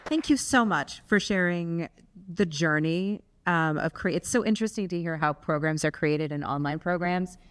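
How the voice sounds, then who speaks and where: Low-voiced woman moderating a talk. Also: normal speed, clear, resonant tone. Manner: warm, appreciative questioning. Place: lecture room with audience.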